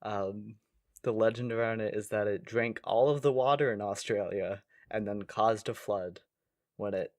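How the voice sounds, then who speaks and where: A man's voice: lowish voice, normal speed, dry recording, studio quality. A man co-hosting a comedic podcast, chatting casually and easily amused. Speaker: podcast co-host.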